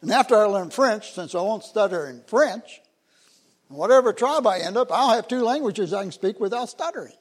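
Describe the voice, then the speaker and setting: A man voicing an eager young male missionary who has a stutter, in a lively, higher voice than his own. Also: normal speed, breathy edge. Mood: hopeful.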